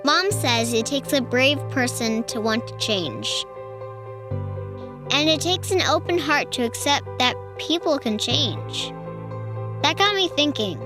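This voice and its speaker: A woman reading a children's storybook aloud in a deliberate storytelling manner. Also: sits high, animated, music in the background.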